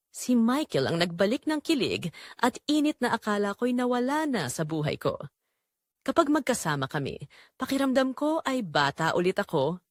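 A woman's voice: medium pitch, no echo, clean studio sound, close to an everyday voice. A woman playing a guilt-ridden married mother confessing a secret affair, narrating in the first person in an emotive, confessional manner. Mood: tender, longing.

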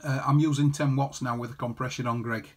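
A man's voice: mid-range voice, fairly even intonation, brisk, a little mellow, breathy edge. A man chatting casually as an amateur radio operator, reading out callsigns and figures. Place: indoor room beside a desktop transceiver.

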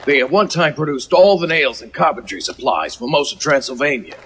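A man's voice: mid-range voice, expressive, fairly quick, fairly bright tone, a little hiss or hum. A man playing a nervous, long-suffering news bureau chief in an audio drama, fretful and exasperated. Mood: matter-of-fact.

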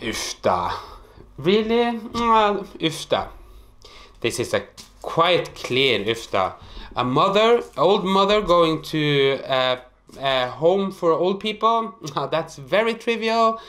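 A man in his thirties from northern Norway with a Norwegian accent, explaining an expression to camera in an animated, hesitant way, in a small apartment room. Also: a little high. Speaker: host.

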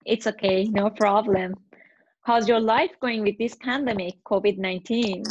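A woman interview host whose first language is Persian, asking questions in slow, careful English over a video call. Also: mid-range voice, fairly even intonation, slight background noise. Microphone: webcam on video call. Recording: dull lo-fi video call audio.

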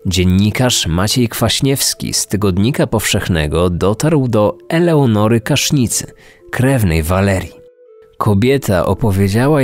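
A man hosting a true-crime podcast in scripted narration. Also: lowish voice. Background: music under the voice.

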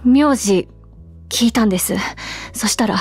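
An actress playing a young woman at a bar who answers the bartender's jokes with quick, sharp comebacks, in a voice drama. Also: on the high side, slightly rough, faint music behind. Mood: retorting. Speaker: bar customer.

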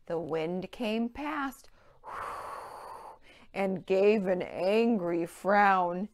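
A woman in her thirties presenting a storytime for young children, with simple, clearly phrased rhymes and counting. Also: medium pitch. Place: indoor room with playhouse backdrop.

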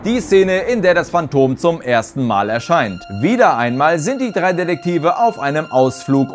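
A man in his thirties hosting a fan channel, delivering scripted ranking commentary. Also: on the high side. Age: 30s. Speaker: host of a radio-play fan channel. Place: small indoor home studio. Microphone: lapel mic. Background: music.

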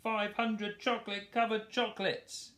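A man voicing greedy, whiny storybook wild boars with loud, drawn-out squeals. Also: sits high, flat delivery, voice pushed up. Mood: bossy shouting. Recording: roomy home echo.

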